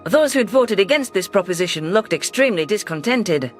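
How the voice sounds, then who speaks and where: A man narrating a science-fiction story in a steady, measured storytelling manner. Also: high-pitched, faint music behind. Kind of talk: scripted sci-fi audiobook narration.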